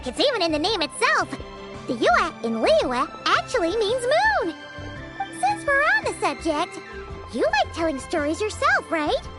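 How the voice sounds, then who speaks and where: A tiny cheeky fairy girl from an anime game, with an extremely high, squeaky, childlike voice. She swoops about excitably, with loud music playing behind her. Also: unhurried, heavily acted. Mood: chatty, curious. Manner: refers to herself in third person, excitable.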